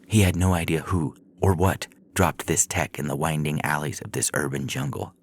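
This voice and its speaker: A man's voice: low voice, normal speed, gravelly, husky. A man narrating a scripted science fiction story as a podcast host, reading in an audiobook style.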